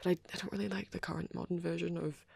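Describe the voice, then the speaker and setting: Man as a soft, creaky, shy vampire boy. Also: high-pitched, level tone, very fast, dry recording, full character voice. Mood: bashful, nostalgic. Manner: hesitant, bashful, softly nostalgic, often trailing off. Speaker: ASMR roleplay performer. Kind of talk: rambling intimate ASMR chat.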